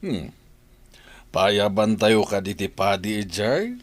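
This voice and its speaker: The bride's father in a village radio comedy, played by a man, with a low voice that moves expressively. He speaks deliberately and agreeably. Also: very animated, no room sound. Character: bride's father negotiating the wedding. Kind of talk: radio comedy drama acting.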